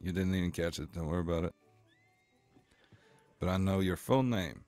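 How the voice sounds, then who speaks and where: A man plays a roleplay game on a live stream, talking in character. His voice sits low. He speaks in a boastful, joking manner and swears freely. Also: normal speed, a little mellow, dry recording, faint music behind.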